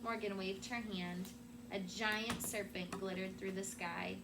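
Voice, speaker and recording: A woman with a medium-pitched voice reads to children as their teacher. Her narration is expressive and story-like. The recording carries clear noise and room ambience. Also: a little slow. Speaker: classroom teacher.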